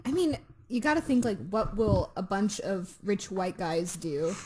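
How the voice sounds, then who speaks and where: A woman's voice: mid-range voice, expressive, measured pace, mellow, slight background noise, rough recording. A woman co-hosting a podcast in informal, slangy casual chat. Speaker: podcast guest co-host.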